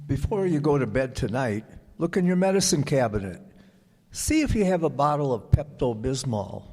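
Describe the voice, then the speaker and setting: A man reading a script as an old-time radio announcer, with measured, deliberate phrasing. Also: mid-range voice, sing-song, darkish tone, a little hiss or hum. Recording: echoey hall with audience present.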